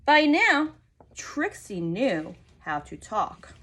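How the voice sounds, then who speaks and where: Slow, expressive woman reading to children. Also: fairly high pitch. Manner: expressive, prompting listeners with questions. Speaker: storyteller reading a picture book to children. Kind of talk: read-aloud with questions to young listeners.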